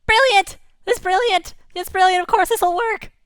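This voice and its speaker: A woman voicing a band of tiny, excitable, anxious anchovies who stammer and repeat themselves. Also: very high-pitched, normal speed, dry recording, studio quality, pitched above the performer's own voice. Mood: excited.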